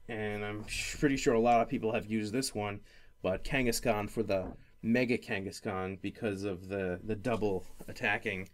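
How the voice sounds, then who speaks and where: A man hosting a casual gaming podcast, talking in a rambling, halting way that often circles back on itself. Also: lowish voice. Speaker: podcast host.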